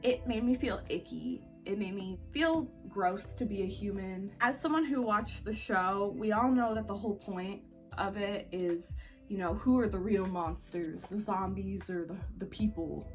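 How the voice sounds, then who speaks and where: A woman with a medium-pitched voice reviews books in a casual, conversational vlog style. She speaks informally and gives her opinions with plenty of slang. The mic sits a little away from her, with faint music behind. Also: a little slow, darkish tone, far from the mic. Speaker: book reviewer vlogger. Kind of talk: casual chatty book review to camera.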